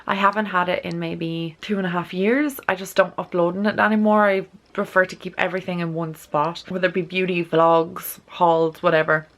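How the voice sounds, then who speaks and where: A congested young Irish woman talks casually to her camera at home. Her voice sits a little low and sounds nasal from blocked sinuses. She rambles in an easy, chatty way, full of "like.". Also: steady tone, moderate pace, few pauses. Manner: chatty, peppered with "like". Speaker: beauty and lifestyle vlogger. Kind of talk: casual vlog chat to camera. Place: at home, indoors.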